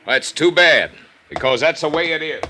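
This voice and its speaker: An actor playing a big, curt thug in a 1950s radio crime drama, blunt and dismissive. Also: male voice, fairly high pitch, lively intonation, normal speed, sharp tone, slight background noise. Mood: curt, defiant. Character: big, curt, armed male tough.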